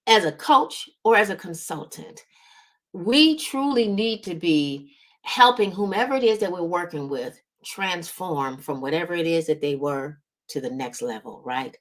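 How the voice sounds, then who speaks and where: A woman's voice: lowish voice, animated, measured pace. A woman giving motivational business advice as a coach, speaking emphatically, repeating words for stress and often checking in with the listener.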